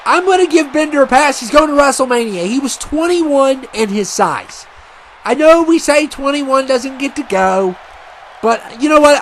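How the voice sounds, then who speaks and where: A man casually commentating a wrestling video game match, rambling and chatty with many fillers, and he says he has a loud voice. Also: extremely high pitch, a little hiss or hum. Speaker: gaming commentator.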